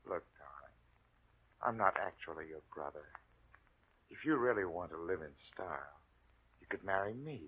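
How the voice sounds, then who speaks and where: An actor playing a smug, scheming adopted brother who taunts and insinuates, in 1940s radio drama style. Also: male voice, deep voice, animated, normal speed, long pauses, slightly rough. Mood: oily, threatening. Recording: muffled narrow-band old radio recording.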